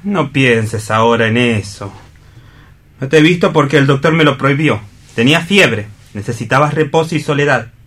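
A man's voice: medium pitch, normal speed, a bit bright, natural voice. An actor playing a tormented, pleading young man in love in a radio theatre reading, earnest and emphatic. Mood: reassuring, uneasy.

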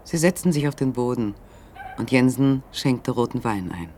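An actor narrating a literary German radio play as a sober, measured third-person storyteller. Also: male voice, mid-range voice, animated, a little mellow, a little hiss or hum, natural voice.

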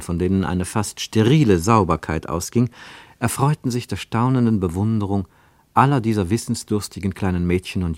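A man with a fairly low voice narrates a literary text for radio. His delivery is measured and expressive, placing emphasis deliberately, and the studio sound is dry and close. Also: no room sound.

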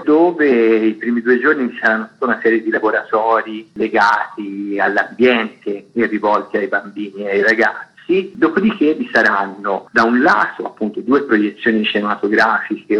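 A dull, lo-fi radio link carries a man. He explains things in long, articulate sentences as an interviewed festival director, with a husky edge. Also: lowish voice.

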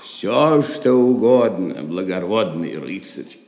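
A man's voice: sits low, animated, takes it slowly, darkish tone, exaggerated voice. An actor playing a proud, emotional Saxon lord and father in an audio drama, declaiming with theatrical passion. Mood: proud, stiff. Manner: declamatory, impassioned. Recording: echoey hall reverb.

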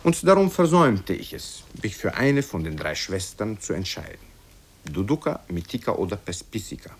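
Male storyteller as old boyar uncle: deep, rough-edged, wistful, sententious, rambling, for vintage radio tales. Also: very animated, moderate pace. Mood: musing, animated.

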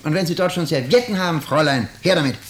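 An actor playing a sly, mock-polite former waiter and jailbird in a 1950s German radio drama. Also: male voice, a little high, fast, lightly acted. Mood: reminiscing, sly. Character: smooth, insinuating ex-waiter and jailbird houseguest. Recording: slightly noisy 1950s radio recording.

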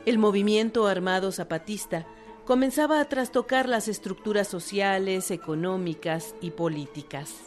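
A woman narrates a historical radio production from a script. Her pitch is middling, and music plays clearly behind her. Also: normal speed.

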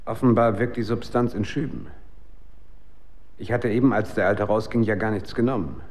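An actor in a German radio drama playing a troubled former drug user who doubts his reality, speaking in a brooding, halting way. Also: fairly low pitch, darkish tone, close to an everyday voice. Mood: musing, clinical. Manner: brooding, halting, searching for words.

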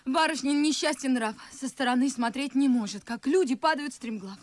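An actress playing a pert, quick-witted, practical young housemaid with brisk delivery in a verse radio drama. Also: female voice, high voice, dry recording, natural voice. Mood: brisk, wry.

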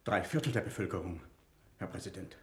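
Actor as a low-voiced, brisk manservant. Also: male voice, natural voice. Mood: dutiful, unmoved. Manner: servile, formal, brief replies. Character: deferential male servant of a president. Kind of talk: German radio drama acting. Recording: roomy echoing radio play sound.

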